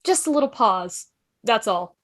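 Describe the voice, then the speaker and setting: A woman's voice: fairly high pitch, lively intonation, measured pace, fairly bright tone. A woman playing a clever, curious, chatty time traveller in an amateur drama table read, breezy and inquisitive. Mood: reassuring. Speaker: table read performer and narrator. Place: online video call table read. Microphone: video call.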